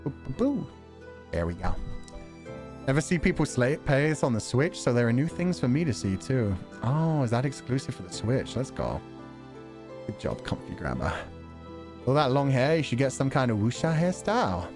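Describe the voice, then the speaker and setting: A man with a mid-pitched, very lively voice chats casually to his livestream audience. His delivery is wry and sarcastic, with offhand swearing. Music plays behind him. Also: normal speed. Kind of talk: casual livestream chat with viewers.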